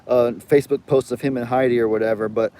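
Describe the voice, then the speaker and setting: Young man, light Southern US drawl, mid-pitched, chatty livestream host who rambles and reads viewer comments aloud. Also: steady tone, fast, warm tone. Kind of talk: casual live chat.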